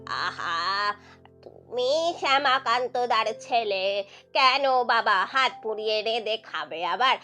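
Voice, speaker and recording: A fussing, kind-hearted old villager, put on by a woman reader. The storybook voice stretches its words slowly and coaxes with affection, over music. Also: on the high side, animated, pushed and bright, voice pushed down, full character voice. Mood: affectionate coaxing. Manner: drawn-out, affectionate coaxing. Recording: music behind the voice.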